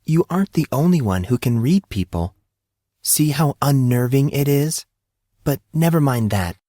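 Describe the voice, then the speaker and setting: A man voicing a calm, assertive teenage girl with a collected but demanding manner, in an audiobook reading. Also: medium pitch, normal speed, no echo, clean studio sound, voice pushed up, close to an everyday voice.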